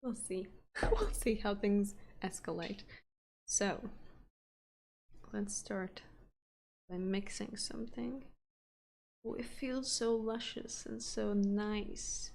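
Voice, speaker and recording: A woman's voice: medium pitch, fairly even intonation, takes it slowly, vocal fry, long pauses, a little mellow. A woman chatting casually and rambling with frequent laughs as she paints on a live stream. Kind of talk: casual chat while painting.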